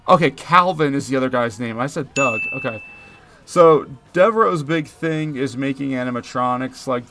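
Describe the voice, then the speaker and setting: A man's voice: medium pitch, normal speed, bright, forceful tone, faint music behind. Jokey man recapping a movie. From Philadelphia area, US. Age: 30s. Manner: jokey, punny, chatty. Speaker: movie commentary host. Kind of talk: casual movie recap commentary.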